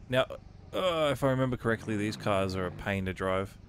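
A man with a cold casually commentating his own gameplay, reading on-screen prompts aloud and thinking out loud as he plays. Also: on the low side, expressive, brisk, slight background noise. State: has a cold. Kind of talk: casual let's-play commentary.

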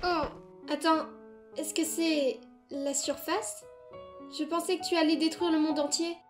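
A woman's voice: high-pitched, steady tone, a little slow. A woman playing a timid, frightened young boy in an amateur comic dub, stammering and pleading yet stubborn. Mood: wary, quieter. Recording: music behind.

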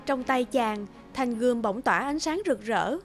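A woman narrating a fairy tale for a cartoon in a smooth storytelling voice. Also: a little high, expressive, moderate pace. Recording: narration over loud music.

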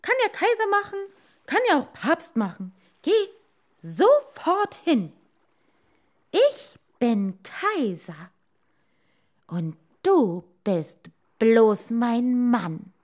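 A woman's voice: a little high, very animated, very slow, voice pushed up, full character voice. A woman voicing a greedy, domineering fisherman's wife turned empress, commanding and impatient, in a storybook reading. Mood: imperious, demanding.

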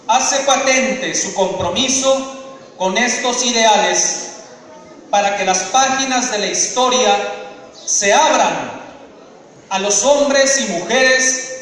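A man in his thirties with a Mexican accent, delivering a formal commemorative speech at a podium in a large open hall, in measured, solemn oratory. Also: sits high, fairly bright tone. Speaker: speaker at a commemoration. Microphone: podium microphone through PA speakers, filmed from afar. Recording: echoey PA sound from far off.

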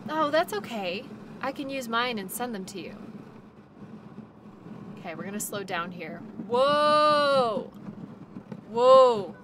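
A woman playing a cheerful, reassuring dog-sled musher in a naturalistic audio roleplay, chatting gently to her passenger. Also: fairly high pitch, lively intonation, slow, pauses often, background noise. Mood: calling commands. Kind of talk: audio roleplay, naturalistic second-person acting.

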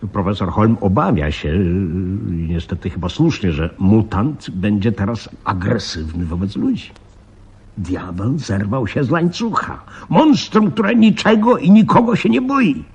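An actor in a radio play voicing a nervous police chief, speaking in a hesitant, halting way. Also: male voice, lowish voice, animated, a little mellow, breathy edge, full character voice. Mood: grave, secretive. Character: nervous police chief briefing his trusted deputy, growing frightened. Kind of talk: Polish radio play acting. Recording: dull, muffled radio drama recording.

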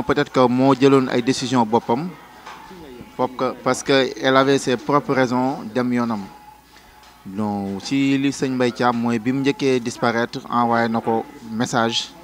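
A young Senegalese man giving a steady street interview answer indoors into a handheld mic. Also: medium pitch. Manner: steady, mixing in French phrases. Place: indoors in a small shop.

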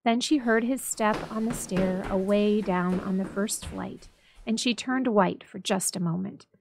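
A woman narrating a story dramatically, with expressive, measured storytelling. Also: medium pitch, vocal fry, noisy background. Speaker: library staff story reader.

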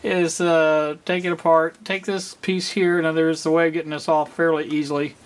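A middle-aged man giving a casual, unscripted demonstration, halting and rambling as he thinks aloud. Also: a little high, flat delivery. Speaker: hobbyist presenter. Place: indoor home workspace.

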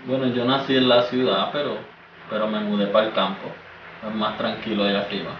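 A man's voice: on the low side, flat delivery, fairly quick, lo-fi sound, slight background noise. A young man in his twenties with a Puerto Rican accent, joking around playfully in casual chat. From Puerto Rico. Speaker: boyfriend guest. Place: small indoor room against a plain wall. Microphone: far camera. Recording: roomy, distant, music behind.

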